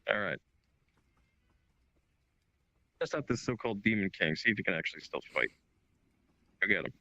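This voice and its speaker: Scheming fantasy boss, voiced by a man: low and gravelly, offhand but commanding, roleplay game. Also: animated, quick pace, sharp tone, heavily acted, rough recording. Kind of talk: improvised online roleplay.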